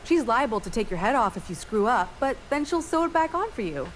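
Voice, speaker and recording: A woman's voice: fairly high pitch, very animated, a little fast. Plainspoken settler woman, helpful, chatty, video game dialogue, faint noise behind. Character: folksy frontier settlement woman, helpful and loyal.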